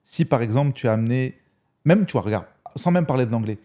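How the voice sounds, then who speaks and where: Male podcast host, expressive and animated, mid-pitched, casual chat. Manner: expressive, chatty, quick to laugh.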